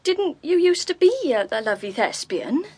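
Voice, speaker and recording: A woman's voice: fairly high pitch, very animated, moderate pace, darkish tone, breathy edge. An actress playing a blunt, sceptical, down-to-earth woman in a comic radio drama, speaking informally.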